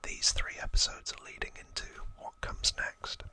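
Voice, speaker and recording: An ASMR host explaining trivia off the cuff in a hushed, breathy, unvoiced whisper. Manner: slow, hushed, unscripted explaining.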